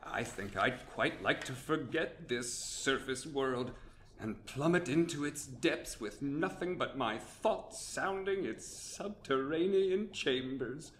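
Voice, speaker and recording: A man's voice: mid-range voice, animated, takes it slowly, fairly bright tone, roomy sound, a little hiss or hum. An actor playing a formal, pompous aristocratic father who speaks in archaic phrasing. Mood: musing. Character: pompous old baron father, grandly fond. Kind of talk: amateur radio drama acting.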